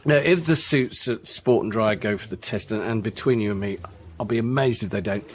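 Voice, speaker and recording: A man's voice: lowish voice, a little fast, lightly acted. An actor playing a boozy, matey British businessman who cajoles and hesitates, with a self-pitying streak, in a radio drama. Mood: matey, persuasive. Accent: British English.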